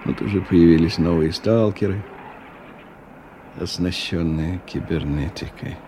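An actor playing a weary, disillusioned middle-aged man thinking aloud in a radio drama, with halting, ruminative delivery. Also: low-pitched. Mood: wry, musing. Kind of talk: radio drama interior monologue. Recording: 1990 radio recording with background noise.

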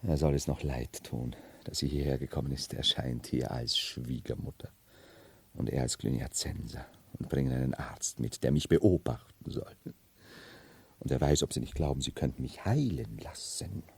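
A man's voice: a bass voice, moderate pace, vocal fry, slightly rough, heavily acted. An actor playing a brooding nobleman who feigns madness as a medieval emperor, theatrical and mercurial, in a 1970s radio play. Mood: bitter, disgusted. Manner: theatrical, mercurial, dwelling on words then bursting out.

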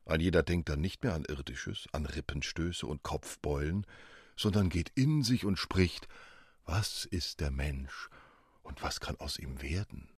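A man's voice: rumbling low, expressive, a little slow, husky, no room sound, clean studio sound, full character voice. A man voicing a small gallant nutcracker prince who explains things courteously in flowery, formal speech, in a storybook reading. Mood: earnest explaining.

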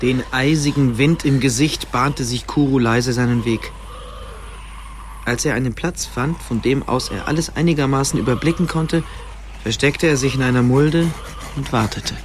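A man's voice: mid-range voice, normal speed, slight background noise. A man narrating a story as scripted narration for a German radio play.

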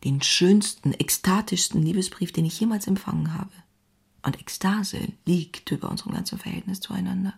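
Casting: restless young woman writer in an audio drama diary, husky with gravelly fry, intimate and confessional. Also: lowish voice, normal speed, exaggerated voice. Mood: ecstatic, anguished. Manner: intimate, confessional, often dropping into breathy near-whispers.

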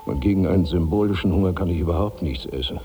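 An actor playing a gigantic, self-pitying rich glutton of 65 in theatrical, grandiose 1960s radio drama style, swinging between brooding and giddy. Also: male voice, sits low, fast, mellow, slight background noise. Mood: pained. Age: older. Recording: reverberant 1960s radio studio recording.

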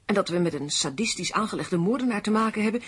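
A woman's voice: medium pitch, expressive, fast talker, breathy edge. An actress playing an anxious, fretful woman under threat in a Dutch radio drama. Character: anxious woman menaced by threatening anonymous letters. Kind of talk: Dutch radio play acting.

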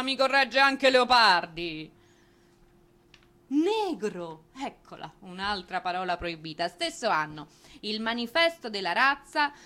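A woman with a mid-pitched voice and a highly animated melody performs as a stage narrator. She is ironic and expressive, using dramatic pauses to shape her monologue.

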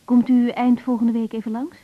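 A woman acting a young female doctor in a radio play, her voice fairly high and a little husky. She is gentle and self-critical, speaking in a natural conversational way on a slightly dull eighties recording. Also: little pitch movement, normal speed, lightly acted. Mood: calm, concerned. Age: young adult. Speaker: general practitioner in a radio drama. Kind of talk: naturalistic 1980s Dutch radio drama acting.

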